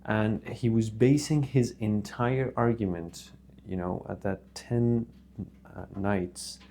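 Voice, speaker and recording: Gravelly, low-voiced man speaking earnestly. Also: fairly even intonation, takes it slowly, dark tone, slight background noise. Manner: earnest, hesitant, reverent honorifics. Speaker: religious video host. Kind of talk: casual religious monologue to camera.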